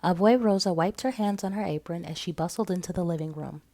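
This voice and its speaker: A woman storyteller narrating a children's picture book aloud in an expressive style. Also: on the low side, fast.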